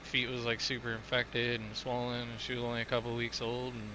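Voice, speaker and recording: A man's voice: medium pitch, completely flat delivery, brisk, background noise. A man from Illinois with a Midwestern accent, chatting loosely with viewers while streaming a game. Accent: Midwestern American. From Illinois, USA. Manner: rambling, loose, filler-heavy talk to chat. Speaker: gaming streamer. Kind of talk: casual live-stream chat while playing.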